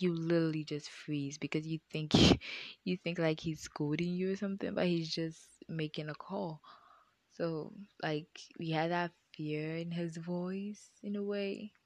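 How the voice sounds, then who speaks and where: A young woman with a deep voice, telling viewers a personal story in a chatty way, often calling them guys and dropping into whispered asides. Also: steady tone, measured pace, no room sound. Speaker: vlogger.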